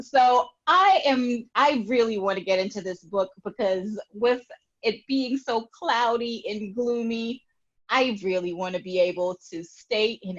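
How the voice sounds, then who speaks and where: A woman hosting a children's read-aloud show in a gushing, excited manner. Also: medium pitch, lo-fi sound.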